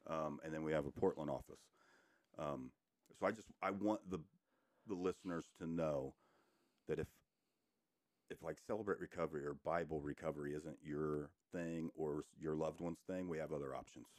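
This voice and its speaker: A man's voice: extremely low pitch, fairly even intonation, normal speed, darkish tone, no echo, studio quality. A middle-aged man, a recovery coach chatting earnestly as a podcast guest. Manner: earnest, joking, talks in restarts. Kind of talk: casual podcast chat. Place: podcast room.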